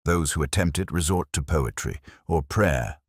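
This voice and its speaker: A man reading scripted first-person narration for a detective mystery in a measured, literary, reflective manner. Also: extremely low pitch, expressive, no echo, clean studio sound.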